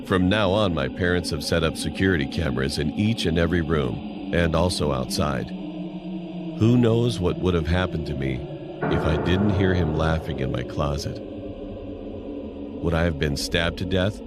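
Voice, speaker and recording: A man's voice: sits low, normal speed. A man reading a scripted first-person horror story as narration. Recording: music playing loudly behind. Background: loud music.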